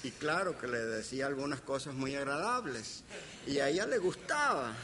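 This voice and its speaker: An older man with a Caribbean Spanish accent gives an anecdotal lecture as a professor, deliberate, pausing and playfully humorous. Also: mid-range voice, lively intonation, pushed and bright. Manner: deliberate, with pauses and playful humour. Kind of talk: anecdotal academic lecture to an audience. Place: lecture hall. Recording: reverberant hall, background hiss.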